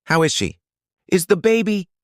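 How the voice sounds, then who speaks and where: A man's voice: high-pitched, very animated, normal speed, dry recording, clean studio sound, voice pushed up. A man voicing an anxious young male painter who speaks urgently, his voice strained.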